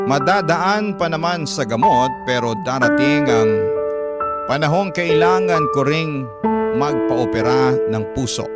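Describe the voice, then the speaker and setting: A man playing a humble, anxious seaman father, narrating his letter in a measured, confiding way with a Filipino accent. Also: a little high. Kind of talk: dramatic first-person letter narration. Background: music.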